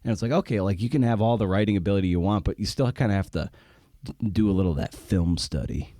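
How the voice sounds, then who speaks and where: A man with a mellow, slightly low voice, recorded close and dry. He talks like a podcast host in casual conversation, rambling as he thinks aloud and doubling back on words for emphasis. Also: quick pace, no room sound.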